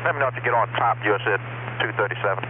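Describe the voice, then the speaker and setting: A man working as a departure air traffic controller, giving clipped, rapid instructions in radio phraseology. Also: fairly low pitch. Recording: tinny radio channel with static hiss.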